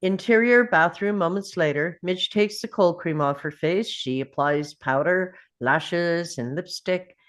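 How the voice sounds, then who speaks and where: A woman reads scene headings and action lines from a script. Her voice sits fairly low, and she moves through the narration at a leisurely pace. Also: a little slow, few pauses. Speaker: reader of stage directions. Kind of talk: scripted narration, present-tense screenplay directions.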